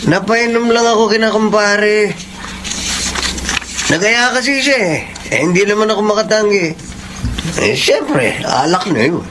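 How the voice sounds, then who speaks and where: An actor playing a selfish, short-tempered, defiant boyfriend in a Filipino radio drama, dismissive and taunting. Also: male voice, high voice, animated, a little slow, pauses often, noisy background. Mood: evasive, irritable.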